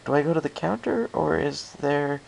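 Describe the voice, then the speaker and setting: Guy playing awkward polite boy pony, hesitant, bit of fry, faint noise. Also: a little high, lively intonation, moderate pace, darkish tone, voice pushed up. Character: awkward, shy, polite young male winged pony. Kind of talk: storybook character voice in fan fiction reading.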